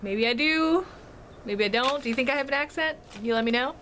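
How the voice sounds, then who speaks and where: Vlogger, female, light Chesapeake accent, talks in a bright, higher voice, giggly and rambling. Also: brisk, slight background noise. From Washington, D.C. metro area. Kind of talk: casual chat to camera. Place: home in North Carolina.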